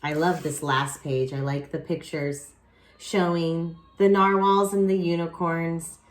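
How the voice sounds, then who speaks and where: A woman reading a picture book aloud expressively, with dramatic pauses and casual asides to young listeners. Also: low voice, fairly even intonation. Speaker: children's picture book reader.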